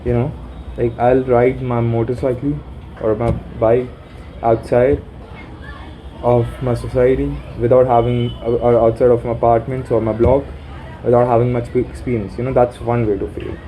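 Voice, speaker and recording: Dark-voiced young man musing aloud. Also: little pitch movement, slight background noise, rough recording. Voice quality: deep voice. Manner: musing, halting, swears casually. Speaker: vlogger. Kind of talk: rambling self-talk planning. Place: sitting in a room corner. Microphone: phone.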